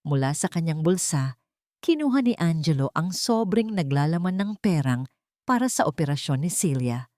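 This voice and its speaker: A woman's voice: sits low, sing-song, moderate pace, dark tone, no room sound, clean studio sound. A woman narrating a scripted romantic drama as a storyteller, in a dramatic reading style.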